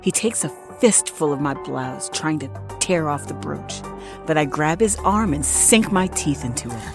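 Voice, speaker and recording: A woman's voice: sits low, lively intonation, moderate pace, vocal fry. A woman playing a guarded, scheming nurse who narrates her revenge tale in an intimate, suspenseful audio drama style. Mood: urgent. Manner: intimate, suspenseful, acted delivery. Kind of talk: dramatic first-person audio fiction narration. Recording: dramatic music behind the voice.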